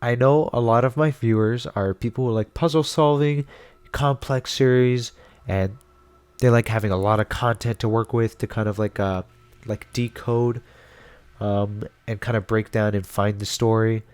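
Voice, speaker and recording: Man, slightly low voice, informal reviewer, conversational, rambling recommendations. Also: steady tone, moderate pace, a little mellow, clear, resonant tone, dry recording. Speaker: video host and reviewer. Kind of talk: casual commentary and recommendations.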